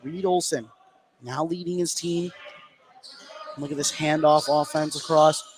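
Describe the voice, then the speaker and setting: A man calling live basketball play-by-play for radio, an excitable announcer whose voice climbs toward shouting on big plays. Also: on the high side, a little slow. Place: courtside in a school gym. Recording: clear gym noise behind the voice. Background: gymnasium ambience.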